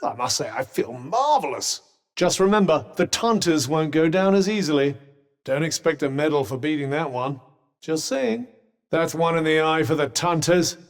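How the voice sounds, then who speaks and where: A man's voice: on the high side, lively intonation, moderate pace, in a large hall. An actor voicing a sardonic male magic bracelet companion in a game, with dry, witty, teasing quips. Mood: wry. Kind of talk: game voice lines.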